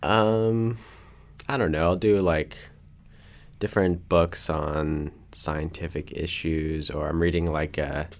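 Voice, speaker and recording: A young man from North Carolina, a college student guest who chats hesitantly with many pauses and fillers. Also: rumbling low, fairly even intonation. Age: young adult. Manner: hesitant, rambling, many ums. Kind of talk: casual chat.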